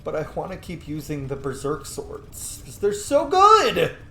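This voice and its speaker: A man's voice: a little high, wildly swooping pitch, a little slow, gravelly, sharp tone, echoey room. A man giving casual, playful, sweary commentary as he plays a video game. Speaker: gaming video host.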